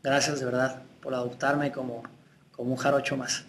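A man's voice: medium pitch, little pitch movement, moderate pace, slight background noise. A young man with a Mexican accent, chatting casually on a live webcam stream, reading out fan messages and sending greetings. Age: young adult. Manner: warm, chatty, reads out names and sends kisses. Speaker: singer answering fans on a webcam stream. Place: room with tall windows, indoors. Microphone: laptop webcam.

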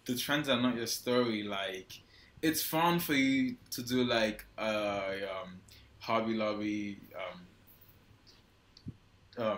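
A man's voice: fairly low pitch, a bit bright. A young man in his twenties with a Nigerian accent, giving loose, hesitant answers as an interview guest over an earphone mic on a video call. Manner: loose and hesitant, heavy use of "like" and restarts. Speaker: guest photographer. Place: small indoor room. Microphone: wired earphone mic on a video call. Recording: roomy echo.

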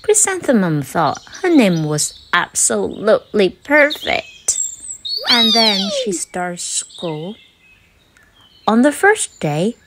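A woman storyteller reading aloud to children in an expressive, deliberate, emphatic style. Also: medium pitch, noisy background.

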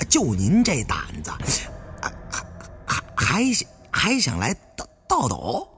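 A man's voice: fairly high pitch, wildly swooping pitch, slow pace, pushed and bright, heavily acted. A man voicing a brash young tomb raider in an audiobook, stuttering through crude, taunting banter. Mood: mocking.

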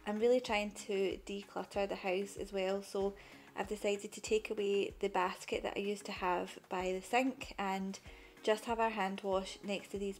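Chatty woman narrating a vlog. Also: medium pitch. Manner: chatty, matter-of-fact. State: pregnant. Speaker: cleaning vlogger. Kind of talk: voice-over narration.

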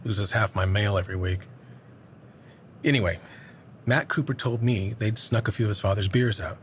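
An actor playing an earnest older small-town man in an audio drama, hesitantly recalling a dark local legend. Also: fairly low pitch, close to an everyday voice. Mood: somber, reminiscing. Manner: hesitant, halting storytelling. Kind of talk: naturalistic audio drama acting. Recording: clear background ambience noise.

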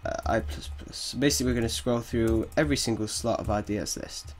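A man with a cold, sounding a little croaky, thinking aloud through a coding tutorial with hesitant pauses. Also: medium pitch, a little hiss or hum. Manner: thinks aloud while typing, hesitant, chuckles at mistakes. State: has a cold, slightly croaky. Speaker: game development tutor. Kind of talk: casual screen-recorded coding tutorial. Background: keyboard typing.

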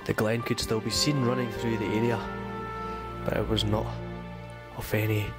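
Male voice-over, slow and flat, mock-grave documentary delivery over loud music. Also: lowish voice. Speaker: narrator.

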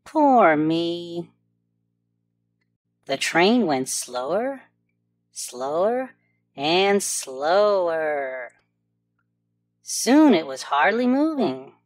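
A woman reading a storybook aloud to preschoolers with clear, deliberate enunciation. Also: on the low side, very animated, no echo, clean studio sound, soft background music. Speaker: children's storybook narrator.

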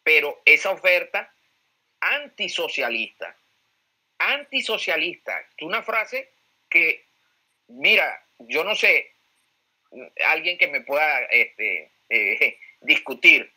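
A man's voice: fairly high pitch, very animated, slow, pauses often, bright, forceful tone, poor audio. A Venezuelan man giving political commentary as a pollster, emphatic, repeating key phrases and posing rhetorical questions. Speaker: pollster, guest speaker.

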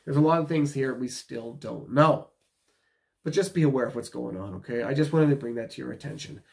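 A man's voice: mid-range voice, a little fast, vocal fry. An American man in his thirties giving opinionated, casual commentary to camera, emphatic and repeating his points for stress, recorded indoors in a small room. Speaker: video commentator.

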